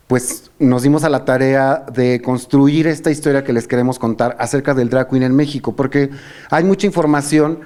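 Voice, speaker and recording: A man's voice: a little high, mellow, clean tone. A middle-aged man with a Mexican Spanish accent, hosting and telling stories in a casual, reminiscing chat. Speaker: host.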